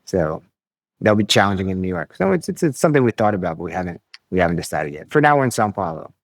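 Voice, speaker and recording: American guy on a podcast, lowish voice, easygoing, stumbles and repeats words, dry sound. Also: quick pace, no echo. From United States. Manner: relaxed, repeats words while thinking. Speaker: podcast guest. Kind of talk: casual interview chat.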